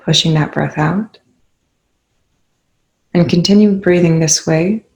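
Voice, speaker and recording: A calm, unhurried speaker leading a guided meditation in soothing spoken instructions with long pauses. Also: medium pitch, creaky, poor audio.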